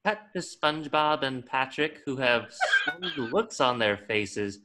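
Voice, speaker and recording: A man's voice: mid-range voice, big pitch swings, takes it slowly, noisy background. A man reading scripted stage directions as the narrator of a table read.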